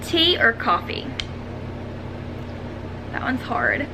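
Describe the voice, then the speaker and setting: A woman's voice: on the high side, wildly swooping pitch, very slow, creaky, background noise. A woman, chatting casually and self-deprecatingly as she answers questions in a vlog at home. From Arkansas, United States. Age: 20s. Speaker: vlogger. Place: room at home.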